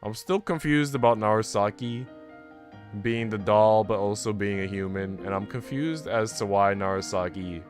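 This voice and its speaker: A man's voice: lowish voice, moderate pace. A man chatting casually and ramblingly to viewers as a game streamer. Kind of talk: casual livestream chat. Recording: music playing behind the voice. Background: background music.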